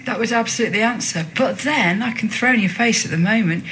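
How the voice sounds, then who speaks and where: Presenter: female, British accent, crisp bright tone, chatty live-radio delivery, slight background noise. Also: fairly high pitch, fast talker, poor audio. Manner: chatty, informal. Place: radio studio. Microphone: studio microphone.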